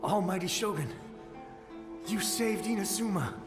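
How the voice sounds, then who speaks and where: A man playing a frightened but loyal soldier's ghost in a video game, urgent, halting and pleading. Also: sits high. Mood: relieved reverence. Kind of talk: dramatic video game voice acting. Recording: echoing long reverb, game music behind.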